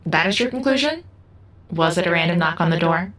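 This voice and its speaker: A woman's voice: on the low side, lively intonation, a little fast, a bit bright. A woman acting in an audio drama as an enigmatic, all-knowing young relative who speaks formally and precisely in philosophical riddles. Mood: serene, probing.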